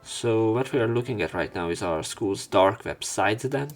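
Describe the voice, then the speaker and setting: Low, slightly gravelly man narrating a game. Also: lively intonation, normal speed. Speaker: let's play narrator and commentator. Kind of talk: reading visual novel text aloud with casual asides. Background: faint game music.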